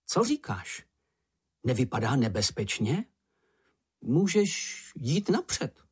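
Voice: medium pitch, sing-song, darkish tone, breathy edge. A narrator voicing a small, timid male animal who frets and complains in a frightened, whiny manner. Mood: excited, wary. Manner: fretful, anxious, complaining.

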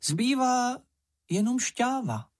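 A storybook reader voicing a small, boastful, excitable young male creature with a whiny, exclaiming manner. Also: medium pitch, pitched above the performer's own voice, natural voice. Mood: boastful, excited. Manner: exclaiming, self-important.

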